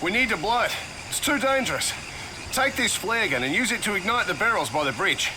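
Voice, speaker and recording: Casting: down-to-earth Australian game survivor, blunt, fairly high voice, clear ambient noise. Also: male voice, lively intonation, a little fast. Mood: shaken. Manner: colloquial, blunt. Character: harried, down-to-earth Australian male survivor defending camp. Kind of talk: video game cutscene acting.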